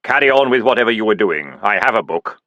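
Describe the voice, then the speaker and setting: A man voicing Death as a calm, dry-witted skeletal figure who speaks slowly and deadpan. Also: on the low side, no echo, highs cut off, close to an everyday voice. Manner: slow, measured, deadpan.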